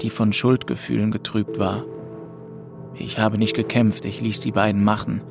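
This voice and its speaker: A man's voice: on the low side, gravelly. A man acting a melancholy, drifting twenty-two-year-old who narrates his travels introspectively in a literary radio drama. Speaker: narrator and lead actor. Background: music under the voice.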